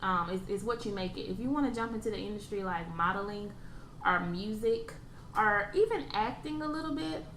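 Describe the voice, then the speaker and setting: A woman's voice: medium pitch, fairly quick, a bit bright. Blunt young woman in an echoey room. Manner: blunt, opinionated, swears casually. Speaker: podcast guest. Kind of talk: casual chat. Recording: echoey room, faint ambient noise.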